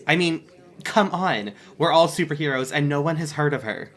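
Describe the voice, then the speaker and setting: A man's voice: a little high, expressive, moderate pace, fairly bright tone. A man playing an indignant, sarcastic superhero who writes and produces musicals in an audio drama, with a wounded, theatrical manner.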